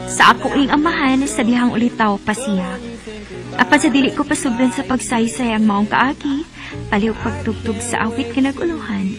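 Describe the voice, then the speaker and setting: An actress playing a sentimental letter sender reading a scripted radio drama monologue over music. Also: female voice, a little high, lightly acted.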